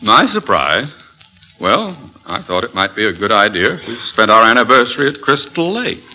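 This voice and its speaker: An actor playing a pompous middle-aged school principal in an old radio sitcom, officious and blustering. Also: male voice, lowish voice, big pitch swings, moderate pace, bright, forceful tone, full character voice. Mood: domestic, flustered. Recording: slightly noisy vintage radio recording.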